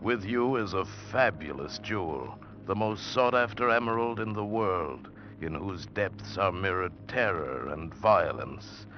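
An actor playing a portentous narrator teasing an adventure tale in 1940s radio style. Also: male voice, sits low, expressive, a little slow, few pauses. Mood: ominous. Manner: ominous, portentous. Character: ominous male narrator of adventure tales. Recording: muffled old radio, music behind.

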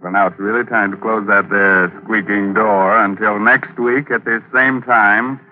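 An actor playing a sardonic, mocking host of an old radio mystery show. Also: male voice, lowish voice, steady tone, lightly acted. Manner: mocking, drawn-out delivery. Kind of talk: 1940s radio acting. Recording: old tinny radio broadcast.

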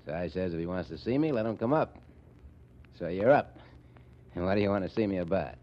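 A tough, folksy gang boss with a very low voice. He talks in slangy, ungrammatical street style, cocky and sure of himself. It sounds like a dull, muffled 1940s radio recording. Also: expressive, fairly quick. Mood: cocky, amused. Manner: slangy, ungrammatical tough-guy talk with chuckles.

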